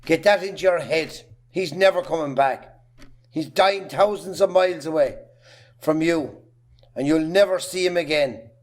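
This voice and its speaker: Casting: male amateur actor as middle-aged Irish farmer, jealous husband, scornful and hard, fairly high male voice. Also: natural voice. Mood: bitter, scornful. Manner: scornful, hard, rapid lists of grievances. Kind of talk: amateur stage-play acting.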